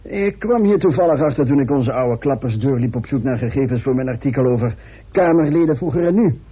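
A man's voice: mid-range voice, expressive, a little fast, slightly rough. A man acting in a Dutch radio play as an eager young newspaper reporter with a theatrical delivery. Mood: earnest. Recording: muffled, tinny old radio recording.

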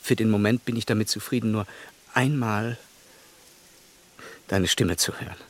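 An actor playing an earnest, plain-spoken, lovestruck English gentleman in a German radio play, speaking haltingly and tenderly. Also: fairly low pitch, lightly acted. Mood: ardent, tender.

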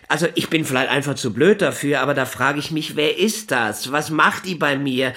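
An actor playing a talkative, flustered newspaper editor in a radio drama, condescending yet well-meaning, rambling and interrupting himself. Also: male voice, on the high side, a bit bright, close to an everyday voice. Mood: baffled, exasperated. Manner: rambling, halting, self-interrupting. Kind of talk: naturalistic German radio drama dialogue.

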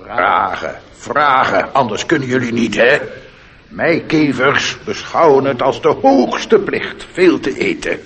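A bossy old beetle in a radio play, very husky and rasping, scolding and pompous. His delivery swings up and down widely inside a long reverb. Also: mid-range voice, very animated, takes it slowly, fairly bright tone, exaggerated voice. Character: bossy, self-important grumpy old cockchafer beetle. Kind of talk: radio drama acting.

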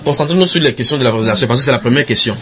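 A man's voice: medium pitch, very fast, over a noisy crowd. A man leading an informal Bible study at a house church, teasing and coaxing his listeners with questions. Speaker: Bible teacher. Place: house church room with people around.

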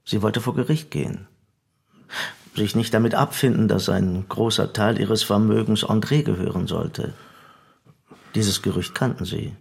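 An actor playing an insistent, formal investigating official who questions with insinuation in a radio drama. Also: male voice, lowish voice, measured pace, vocal fry, husky, heavily acted. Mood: probing, insinuating.

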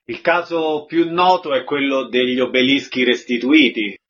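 A man with a husky, middle-pitched voice interviews a guest on radio. He offers conversational prompts and questions. The sound is a little dull and lo-fi. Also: steady tone, normal speed, a bit bright.

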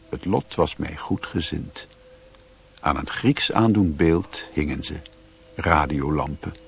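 A man's voice: low-pitched, husky, exaggerated voice. An actor playing a sombre man who recounts his grim adventures in literary radio drama narration.

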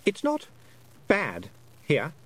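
Guy doing a jittery boy voice, high and bouncy, rambling on. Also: huge pitch swings, drawn-out words, a bit bright, breathy edge, heavily acted. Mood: anxious. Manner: rambling, hesitant, breathless. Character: nervous boy of twelve, anxious and chatty.

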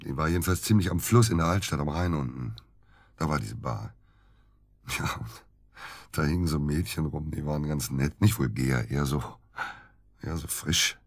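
A man acting in a German radio drama as an evasive, dejected doctor under suspicion, husky and halting. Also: very deep voice, dry recording, clean studio sound. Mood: sheepish, confessional. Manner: halting, hesitant, defensive.

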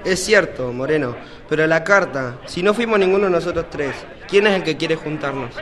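A man's voice: fairly high pitch, animated, normal speed, natural voice. A young man playing a puzzled, informal revolutionary veteran in an amateur student radio play. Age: teenager or young adult. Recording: busy crowd noise behind voice.

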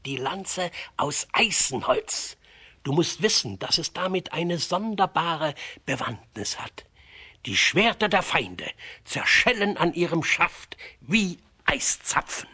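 A man playing a gruff village father in a children's radio play, with a very husky, forceful voice. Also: fairly high pitch, big pitch swings, slow pace, exaggerated voice. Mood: earnest advice.

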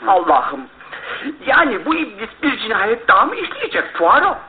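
A high, very animated male voice plays an earnest, easily shocked detective's companion. It is radio drama with a thin, tinny old sound. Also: moderate pace. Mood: alarmed.

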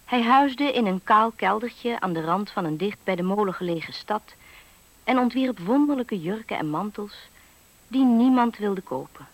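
A woman narrating a fairy tale for a 1970s radio play in a composed, measured storytelling manner. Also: medium pitch, expressive, dry recording, poor audio.

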